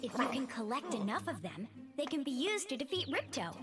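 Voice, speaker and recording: A bossy young fawn. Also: female voice, high voice, big pitch swings. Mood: brisk. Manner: brisk, takes charge. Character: spirited young female fawn, bossy guide. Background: game music.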